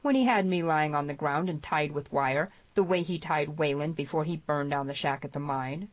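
A stern, defiant gunman from a Western storybook, voiced by a woman. The delivery is low, firm and challenging, with a very dry, slightly muffled recorded sound. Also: moderate pace, dry recording. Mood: firm accusation. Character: stern, proud young Western gunman, a man, steely and defiant.

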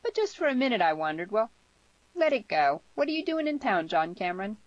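A woman's voice: mid-range voice, very animated, fairly quick, no echo, voice pushed up, lightly acted. A woman voicing a spirited, forthright young woman in an audiobook, with an emphatic, questioning delivery. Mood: frank, curious. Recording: slightly muffled lo-fi audio.

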